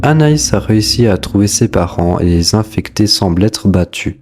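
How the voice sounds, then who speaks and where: A man's voice: lowish voice, expressive, moderate pace, a little mellow, slight background noise. A man giving scripted explanatory narration as a video host.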